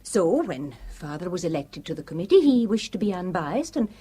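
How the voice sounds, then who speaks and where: A husky young island daughter. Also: female voice, fairly low pitch, very animated, moderate pace, mellow. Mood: firm. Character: young island woman, university student, protective. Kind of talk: radio drama acting.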